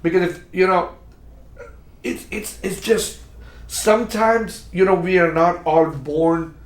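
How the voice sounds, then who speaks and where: A man's voice: sits high, takes it slowly. A man from Pakistan hosting a casual interview podcast, often repeating words and restarting his sentences. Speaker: podcast host. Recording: echoey room.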